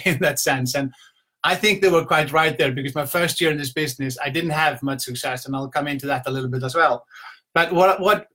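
Bright-voiced middle-aged Icelandic man. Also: a little high. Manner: conversational, rambling storytelling with repeated "you know". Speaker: business coach giving a motivational talk. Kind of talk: informal motivational talk to a live online audience. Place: at home, indoors.

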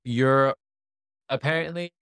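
A man's voice: mid-range voice, slow pace, a bit bright, clean tone, no echo. A man raised in Pakistan and born in the US, hosting a casual podcast and circling toward his questions in a rambling way. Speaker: podcast host. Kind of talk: casual conversational interviewing.

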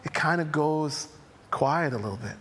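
A man delivering a sermon in a church, his fairly high voice carried by a long reverberating hall. He reads scripture aloud, then explains it with an animated, emphatic delivery and light joking asides. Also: normal speed, breathy edge. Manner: animated, rising to emphatic highs, playful asides. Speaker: pastor.

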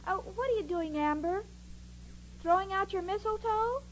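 Very high-voiced dim, sweet young woman. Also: moderate pace. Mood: chirpy, naive. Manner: naive, earnest, hesitant. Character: dim-witted, sweet, eager young single woman, scatterbrained roommate. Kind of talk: 1940s radio comedy acting. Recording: muffled, noisy old radio recording.

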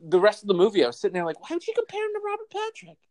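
A podcast co-host chatting casually about a movie, incredulous and animated, stammering when excited. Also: medium pitch, rapid-fire, dry recording. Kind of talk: casual movie recap chat.

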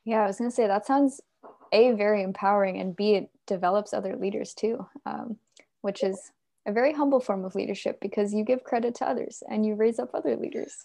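A woman interviewing a guest, asking measured questions with frequent pauses. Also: mid-range voice, gravelly, mellow. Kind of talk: conversational interview.

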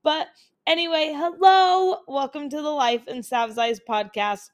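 A woman's voice: sits high, measured pace. A young woman from Utah hosting a casual solo podcast on a laptop's built-in mic, chatty and upbeat with many fillers, her voice still recovering from colds. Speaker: podcast host.

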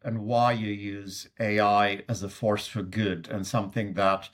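Man, Swedish accent, low voice, middle-aged, hesitant, lots of ums. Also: little pitch movement, measured pace. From Sweden. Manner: hesitant, many fillers and restarts. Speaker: guest. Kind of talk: conversational interview.

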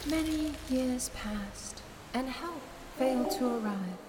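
A woman playing a calm alien sentient in an audio drama, speaking in a formal, measured, solemn way. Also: high-pitched, very noisy. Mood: solemn, explanatory. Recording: long reverb, distant, music behind.

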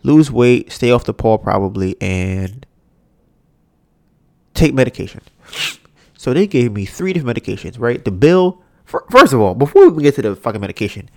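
A young man hosting a podcast, ranting casually with a stuffy, nasal voice and lots of swearing. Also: no room sound. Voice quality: congested, nasal. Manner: animated, mocks others in high put-on voices, swears. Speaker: podcast host. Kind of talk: casual solo rant.

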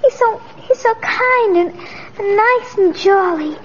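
Sweet earnest little girl, really high voice, muffled old radio. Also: expressive, a little slow, slight background noise. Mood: earnest, upset. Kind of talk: 1940s radio acting. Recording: dull old radio recording.

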